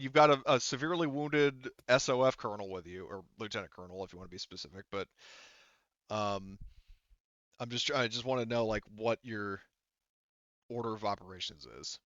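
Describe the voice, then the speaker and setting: A man working as game master, narrating a tabletop game in a casual, halting, conversational way. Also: mid-range voice, expressive, fairly bright tone, no echo. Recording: slightly dull close dry mic.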